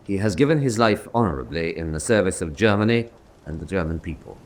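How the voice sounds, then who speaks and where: An actor playing a stern German officer presiding over a military council, deliberate and judicial, in a solemn radio drama style. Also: male voice, low-pitched, animated. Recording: noisy drama track with ambience.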